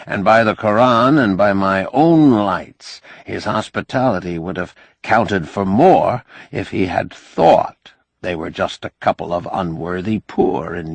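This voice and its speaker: An audiobook figure of a learned, crusty older man, acted by a male reader. The voice is low and gravelly with a dry, close sound. He argues like a sardonic lecturer, pedantic and wry. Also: no room sound. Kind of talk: audiobook character dialogue.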